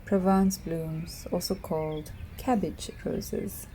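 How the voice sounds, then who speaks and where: A woman narrating a poetic piece slowly and softly, sometimes dropping to a whisper. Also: on the low side, fairly even intonation, slight background noise. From South Africa. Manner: soft, hushed, drifting into whispers.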